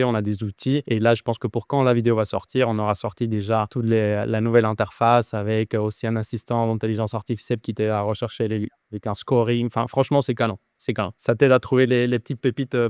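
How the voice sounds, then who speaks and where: A man from Argentina talks in French with a light Argentine accent. His voice is smooth and clear, and it is recorded dry with no room sound. He speaks informally, with slang and frequent restarts. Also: lowish voice, darkish tone, no room sound. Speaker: guest, SEO agency founder. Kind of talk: casual interview chat.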